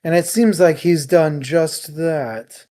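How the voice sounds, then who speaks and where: A man with a slightly high voice co-hosts a relaxed, informal book discussion. He takes his time over his words, with a dry, teasing sense of humor. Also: slow pace. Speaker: book club podcast co-host. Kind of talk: casual book chat on a livestream.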